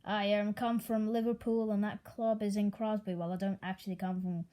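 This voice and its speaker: A woman's voice: mid-range voice, little pitch movement, moderate pace, warm tone. A young woman with an American accent, originally from Italy, reading out football results in a casual, offhand way with dry asides. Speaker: sports news presenter.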